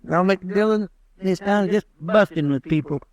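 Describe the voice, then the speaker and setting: A man's voice: sits high, moderate pace, no room sound, close to an everyday voice. A man playing a folksy, eager deputy in a 1950s radio western, talkative with rustic grammar. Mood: chatty. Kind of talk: 1950s radio drama acting.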